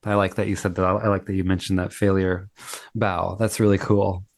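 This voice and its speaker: A fairly low-pitched man talks with easy enthusiasm, often affirming what he hears. He is heard over a video call, and the sound is dry with no room echo. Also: flat delivery, fairly quick, creaky. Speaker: guest, singer-songwriter. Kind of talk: casual interview chat.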